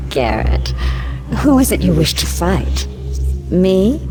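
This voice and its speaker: A woman's voice: fairly low pitch, very animated, slow pace, music in the background. An actress playing a seductive, dangerous sorceress in a video game, teasing and theatrical in her delivery. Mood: mocking, amused.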